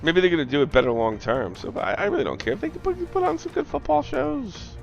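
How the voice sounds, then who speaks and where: A man's voice: sits high, sing-song, quick pace, vocal fry, highs cut off, faint music behind. A man co-hosting a soccer watch-along stream, talking in a rambling, profane and emphatic way. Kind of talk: casual opinionated sports chat.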